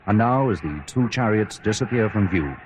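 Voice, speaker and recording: Male actor for outside-broadcast reporter, radio news drama. Low, formal, descriptive, with noisy radio ambience behind. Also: expressive, normal speed, lightly acted. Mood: composed, ceremonial. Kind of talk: live radio news commentary.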